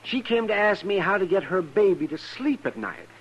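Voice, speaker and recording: An actor playing a crotchety, teasing frontier town doctor with a folksy manner in an old-time Western radio drama. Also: male voice, high-pitched, expressive, moderate pace. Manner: folksy, needling, chuckling old-timer. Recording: dull, slightly noisy vintage radio recording.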